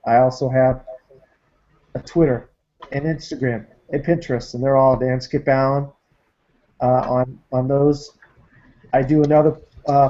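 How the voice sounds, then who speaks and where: Male podcast host, warm dark voice, eager, lots of ums, muffled online audio. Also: medium pitch. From Massachusetts. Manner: enthusiastic, filler-heavy. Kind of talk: casual panel show wrap-up.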